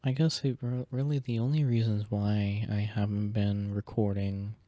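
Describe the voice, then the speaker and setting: A soft-spoken man with a low, deep voice, chatting casually as an ASMR creator, drifting between quiet talk and whispers into a sensitive close microphone. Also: normal speed, dry recording. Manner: relaxed, rambling, slips into whispers.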